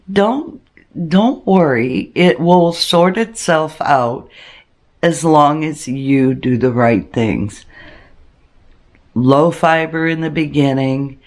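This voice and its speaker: Slow, halting older man. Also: a little high. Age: 60s. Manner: halting, many pauses and restarts. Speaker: homestead channel host. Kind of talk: casual personal vlog talk.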